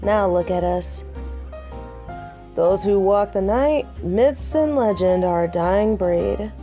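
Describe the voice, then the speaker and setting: A woman's voice: lively intonation, faint music behind. A woman playing a jaded, centuries-old werewolf in an audio drama, brooding, proud and weary. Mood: bitterly nostalgic. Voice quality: low, dark and mellow. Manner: slow, measured, brooding. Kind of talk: dramatic audio roleplay acting.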